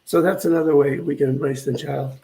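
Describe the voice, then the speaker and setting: An older man speaks in a soft-edged, mellow voice that sits a little high for a man. He gives an informal spiritual talk, pausing and repeating words as he reflects. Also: steady tone, creaky, low-quality recording. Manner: halting, reflective, repeats phrases. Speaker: minister.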